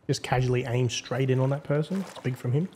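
A man's voice: mid-range voice, brisk, a little mellow. Man commentating, over background noise. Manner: slangy, wry. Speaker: host, game server admin. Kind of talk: casual gameplay commentary.

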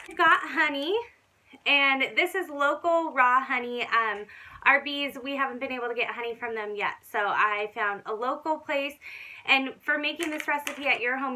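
Bright high-voiced North American woman. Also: rough recording. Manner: upbeat, friendly, enthusiastic. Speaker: vlog host. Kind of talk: chatty recipe demonstration to camera. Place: small wood-panelled living room.

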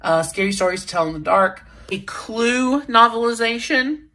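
A woman's voice: fairly high pitch, lively intonation, moderate pace, bright, forceful tone. A young American woman with a slight cold, chatting informally as she gives a casual shelf tour for her vlog. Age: young adult. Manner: chatty, informal, uses slang. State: sick with a sniffle. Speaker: book vlogger and channel host. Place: indoors in a home library room.

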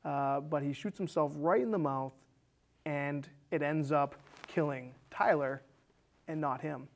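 A man's voice: a little high, a little slow, vocal fry. A man in his thirties casually talking through a movie's story as co-host of a film discussion show. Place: small indoor studio set with curtains.

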